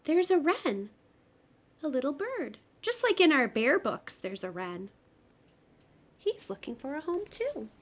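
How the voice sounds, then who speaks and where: A woman's voice: sits high, very animated, a little slow, pauses often, voice pushed up. A woman voicing a happy, eager young girl in a storybook, with a sweet, wondering manner. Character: happy, eager young girl who loves her garden.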